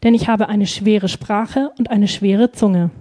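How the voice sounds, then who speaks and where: A woman's voice: mid-range voice, fairly even intonation, slow, mellow. A woman interpreting a sermon live, phrase by phrase, hesitating and feeling for words with frequent ums.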